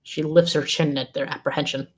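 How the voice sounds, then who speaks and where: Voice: medium pitch, flat delivery, brisk, slightly rough. A narrator reading scene descriptions and stage directions from a screenplay at a table read.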